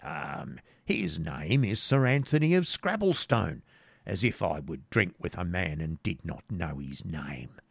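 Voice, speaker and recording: Here is a boisterous storybook friar, acted out by a male reader, boastful and fond of drink. He blusters in archaic English with a creaky voice, recorded dry. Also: low-pitched, sing-song, a little slow, dry recording, heavily acted. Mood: bold, evasive.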